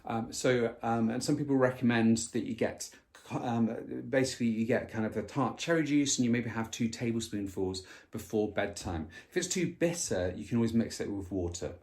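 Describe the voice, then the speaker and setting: A man giving sleep advice in a casual chat, often pausing with fillers and restarting his sentences. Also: medium pitch, a little mellow. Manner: hesitant, many fillers and restarts. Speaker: co-host.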